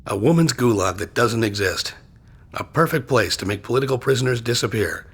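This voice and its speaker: An actor playing a gruff, terse male agent hero in a video game cutscene, with a husky, low-key delivery. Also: lively intonation, a little fast. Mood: grim.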